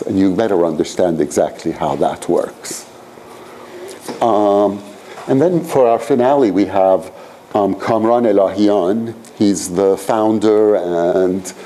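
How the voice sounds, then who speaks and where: Man, 60s, from Iran, professor type. Low, warm voice; loose, filler-laden lecture delivery. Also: a little hiss or hum, poor audio. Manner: rambling, filler-heavy, frequent "you know" and "frankly". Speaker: professor leading a seminar. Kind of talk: conversational seminar lecture. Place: lecture room at a graduate school.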